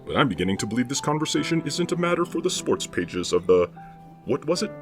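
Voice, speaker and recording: An actor playing a pompous, grandly formal football authority of the 1920s in an audio drama. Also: male voice, mid-range voice, big pitch swings, fast talker, natural voice. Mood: lofty. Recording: music playing underneath.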